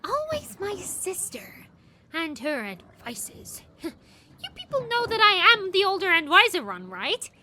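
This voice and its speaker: Impish kid genius whos secretly old, boastful n teasing, super high n bouncy, audio drama, bit of noise. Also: female voice, wildly swooping pitch, exaggerated voice. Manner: teasing, haughty, quick to boast. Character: impish elderly scientist woman in a young girl's body. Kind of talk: fan audio drama acting.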